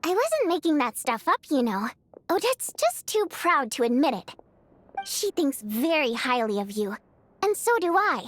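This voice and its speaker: Excitable young female game character, very high pitch, highly animated, expressive, outspoken, chatty. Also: unhurried, a little hiss or hum. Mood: sincere. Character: excitable, outspoken, dramatic young woman performer. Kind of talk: video game voice acting.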